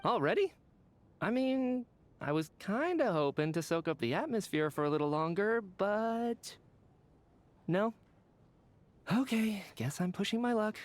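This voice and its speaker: A man's voice: high voice, very animated, measured pace, pauses often. A man voicing a breezy, cheeky young scientist in a video game, chatty and theatrical. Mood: wheedling, resigned.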